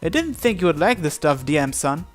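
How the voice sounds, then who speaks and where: A man's voice: fairly high pitch, sing-song, a little fast. A man reading a visual novel aloud as a gaming host, acting out the text and adding his own asides. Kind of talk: dramatic read-aloud with asides. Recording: music behind the voice. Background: game music.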